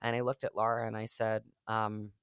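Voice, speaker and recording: A man from Virginia, a guest actor, telling an anecdote in a casual interview, halting and restarting as he acts out the dialogue. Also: lowish voice, little pitch movement, fairly bright tone, clear, resonant tone, no echo, clean studio sound.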